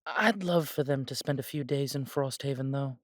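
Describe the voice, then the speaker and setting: A man playing a warm, humble elf brother in a scripted fantasy audio roleplay, speaking in measured, slightly formal phrases. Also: mid-range voice, no room sound, clean studio sound, lightly acted. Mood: easygoing. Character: warm, humble elf brother without magic, devoted to family.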